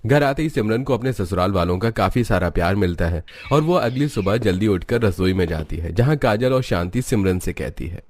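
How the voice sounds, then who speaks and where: A man's voice: lowish voice, animated, brisk, few pauses, darkish tone, a little hiss or hum. A man narrating a scripted cartoon family story as a storyteller. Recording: music behind the voice. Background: music.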